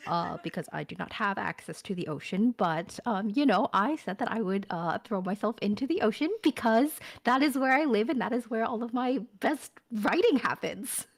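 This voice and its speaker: A woman's voice: mid-range voice, animated, normal speed, gravelly, few pauses. A woman, a voice actor guest, chatting casually in a playful, mock-dramatic way. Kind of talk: casual after-show chat.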